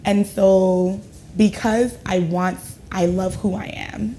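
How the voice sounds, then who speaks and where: Woman guest, from Ghana, reflective, mid pitch, faint background noise. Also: steady tone, takes it slowly, mellow, low-quality recording. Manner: reflective, earnest, uses "like" and "right?". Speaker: guest, education consultant. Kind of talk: casual studio chat.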